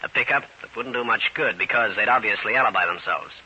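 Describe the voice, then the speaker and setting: An American actor playing a tough, wry New York private detective, narrating and talking briskly in 1940s radio drama style. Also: male voice, fairly low pitch, pushed and bright, slightly rough, exaggerated voice. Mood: matter-of-fact. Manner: brisk, hard-boiled, clipped delivery. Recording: hissy, dull old-time radio recording.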